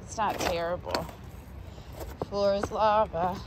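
A woman's voice: medium pitch, takes it slowly. A woman chatting playfully as she hikes a rocky beach at night, filming on a body-worn camera. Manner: chatty, playful, excited exclamations. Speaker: hiker vlogger. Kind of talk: casual narration while hiking. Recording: noisy outdoor camera audio.

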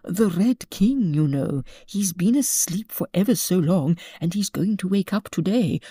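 A woman's voice: lowish voice, expressive, unhurried, mellow, slightly rough, no echo. A woman voicing a fussy, jumpy elderly king in a storybook reading. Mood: fretful.

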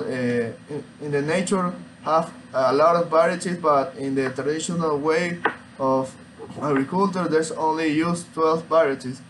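Slow, halting young man presenting. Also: fairly high pitch, noisy background. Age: young adult. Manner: halting, hesitant, searching for words. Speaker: student. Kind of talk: informal student oral presentation. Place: small room at home, indoors.

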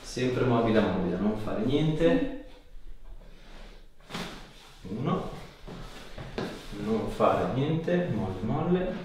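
A man's voice: mid-range voice, animated, very slow, pauses often, darkish tone. A man in his thirties working as a chiropractor, giving a patient short, hands-on instructions. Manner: short directive phrases. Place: small indoor treatment room. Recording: echoey room with faint noise.

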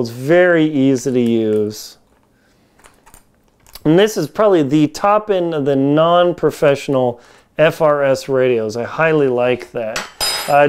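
Clear-voiced man reviewing gear casually. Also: fairly high pitch, darkish tone. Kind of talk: casual hands-on product review. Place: home kitchen, indoors. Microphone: lapel mic.

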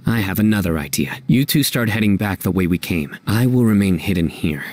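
An actor playing a serious, composed young lone enforcer in a video game, speaking in a measured, authoritative way. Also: male voice, deep voice, expressive, darkish tone. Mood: calm, calculating.

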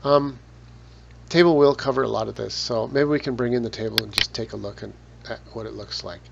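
A man talking through a photo editing tutorial step by step, relaxed, with casual asides. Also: medium pitch, a little fast, vocal fry. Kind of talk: screen-recorded software tutorial. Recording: dull lo-fi voice with loud noise.

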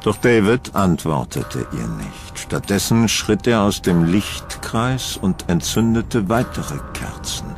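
A man narrating a scripted horror audio drama in a suspenseful, deliberate storytelling manner. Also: sits low, animated, soft background music.

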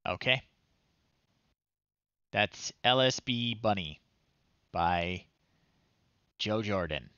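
A man in his thirties hosting a casual livestream, talking in an unhurried, amused, halting way. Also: lowish voice, animated, sharp tone, no room sound, dull audio. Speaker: livestream host. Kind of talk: casual livestream commentary, reading children's stories aloud. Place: small home room, indoors.

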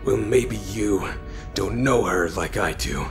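Guy playing stubborn captive warrior, creaky voice, slow bitter defiant lines, audio drama w music behind. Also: on the low side. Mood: wry. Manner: defiant, terse. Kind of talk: fan audio drama acting.

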